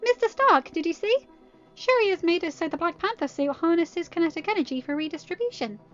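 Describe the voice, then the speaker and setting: A woman's voice: very high-pitched, expressive, brisk, fairly bright tone, highs cut off, faint music behind, pitched above the performer's own voice. Woman doing an eager, goofy teenage boy.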